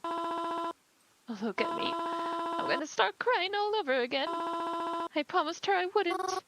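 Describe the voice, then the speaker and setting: A woman voicing a grieving middle-aged mother who talks in a soft, wavering, heartbroken way. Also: extremely high pitch, slow, slight background noise, voice pushed up, heavily acted, rough recording. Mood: tearful. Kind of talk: audio drama acting.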